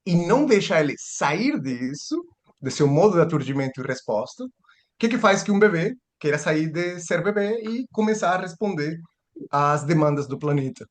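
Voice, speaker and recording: A man's voice: fairly high pitch, sing-song, normal speed. A man giving a seminar lecture, thinking aloud with restarts and rhetorical questions.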